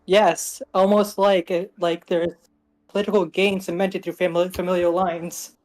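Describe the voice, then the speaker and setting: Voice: mid-range voice, level tone, normal speed, a little mellow, low-quality recording. A co-host on a casual animation livestream, eagerly explaining ideas and leaning on lots of "like" fillers. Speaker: indie animation team member, stream co-host.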